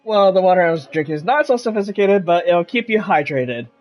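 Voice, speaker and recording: A woman's voice: lowish voice, animated, fast talker. Woman streamer, dull lo-fi mic. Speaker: game streamer. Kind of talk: casual live playthrough commentary. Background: game music.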